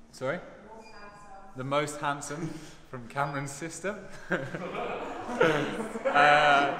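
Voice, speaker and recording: A young man brags playfully about being the best in the crew. He sounds like he is in a big echoing space with a long reverb tail, and a crowd chatters clearly behind him. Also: medium pitch. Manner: cocky, tongue-in-cheek boasting. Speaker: rower, interviewee. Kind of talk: casual banter. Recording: echoey room with crowd noise.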